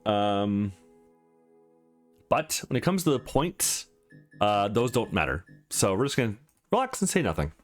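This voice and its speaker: A man's voice: on the low side, big pitch swings, a little slow, pauses often. A man hosting a let's play, giving casual commentary on a visual novel with music underneath. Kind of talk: casual game commentary and read-aloud.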